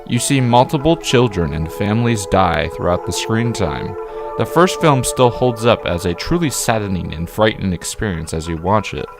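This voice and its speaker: Low-pitched man reviewing over prominent music. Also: expressive, normal speed. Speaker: video reviewer and channel host. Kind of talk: scripted video essay review narration. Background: background music.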